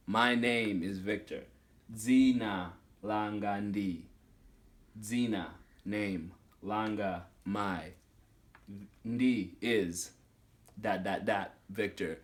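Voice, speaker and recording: A young man teaching a language lesson at a whiteboard, speaking slowly and repeating each phrase with its meaning, recorded indoors at home. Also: fairly low pitch. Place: small indoor room at home.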